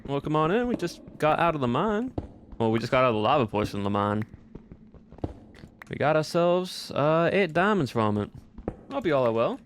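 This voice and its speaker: A man's voice: a little high, sing-song, moderate pace, pauses often, slight background noise. A man voicing an easygoing, folksy Southern cowboy with a blended American Southern drawl, chatting casually and courteously on a game livestream. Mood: friendly, welcoming. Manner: folksy, courteous, uses y'all and ain't. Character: folksy male Southern cowboy gunpowder-factory owner, easygoing. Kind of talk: casual in-character livestream chat.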